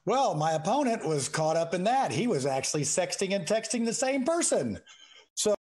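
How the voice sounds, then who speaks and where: A man from the United States chatting informally as a political candidate on a call-in show, folksy, halting and joking. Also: high-pitched, very animated, darkish tone. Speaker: state house candidate and talk show guest.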